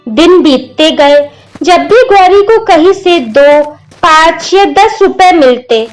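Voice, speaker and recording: A woman with a high voice narrates a village moral story. She takes it slowly, line by line, as scripted storytelling. Clear instrumental music plays underneath her. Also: rough recording.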